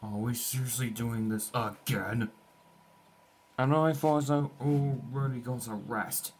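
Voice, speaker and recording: Man acting a young male game rival, jaded, sarcastic, dismissive, slangy, mid-range pitch, slow drawn-out delivery. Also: steady tone, a little hiss or hum, close to an everyday voice. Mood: weary. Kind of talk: fan game voice lines.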